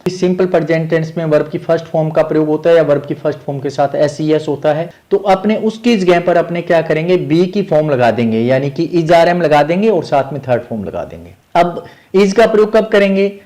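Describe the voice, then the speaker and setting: A man's voice: on the high side, animated, brisk, hardly any pauses, dark tone. A man with an Indian accent explaining grammar as a teacher, methodically repeating key points and mixing in English terms.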